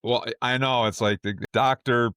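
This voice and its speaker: A man's voice: medium pitch, fairly even intonation, normal speed, a bit bright, smooth, dry recording, clean studio sound. A man hosting a casual fan podcast, bantering and joking as he talks. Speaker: podcast host.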